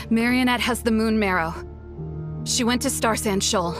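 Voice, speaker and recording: A woman voicing a proud, bitter turncoat in a video game, cool and wry, with music behind. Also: a little high. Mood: subdued, proud. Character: proud, bitter female turncoat who left her clan. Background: background music.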